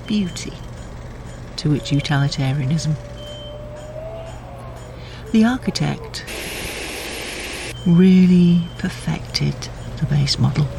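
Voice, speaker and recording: Frail visionary facility leader, slow grandiose speech, gravelly fry, noisy vehicle rumble, sci-fi radio drama. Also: lively intonation. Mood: reverent pride. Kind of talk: audio drama acting.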